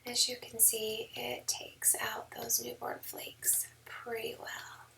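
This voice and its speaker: A woman photographer giving a relaxed editing tutorial, hoarse and half whispering because her voice is going, with many ums. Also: on the high side, slow, far from the mic, poor audio. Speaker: newborn photographer. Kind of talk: screen-recorded editing tutorial. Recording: mic set back, faint background noise.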